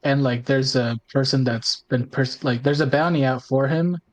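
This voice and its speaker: A man's voice: medium pitch, normal speed. A man in casual roundtable conversation, informal and a little tentative, checking in as he goes. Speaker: podcast regular, tabletop role-playing player.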